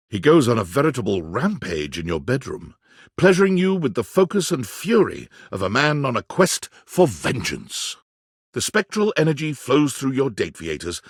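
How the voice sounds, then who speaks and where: Expressive male narrator, dry studio sound. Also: mid-range voice, slightly rough, no room sound. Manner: theatrical, wry storytelling with dramatic pauses. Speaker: game narrator. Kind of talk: scripted second-person narration.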